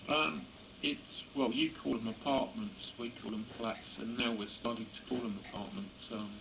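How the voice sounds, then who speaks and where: British male ham operator, hesitant and creaky, fairly flat delivery, noisy recording. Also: mid-range voice. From England. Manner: hesitant, halting. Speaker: amateur radio operator. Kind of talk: casual ham radio chat. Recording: noisy amateur radio contact recording.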